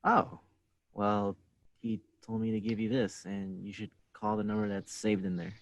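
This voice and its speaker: A timid, skinny young male assistant, read by a man at a table read speaks haltingly and unsure. His voice is low. The sound is dry and dull. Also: steady tone, no echo, close to an everyday voice. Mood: hesitant.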